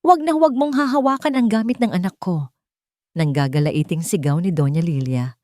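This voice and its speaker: A woman's voice: low-pitched, a little mellow, dry recording, studio quality. A Filipino woman narrating a scripted love story as a dramatic storyteller.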